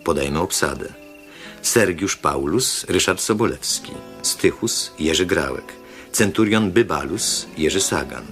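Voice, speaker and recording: A man working as a radio announcer, reading scripted credits formally and deliberately. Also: low-pitched, animated, a bit bright, soft background music.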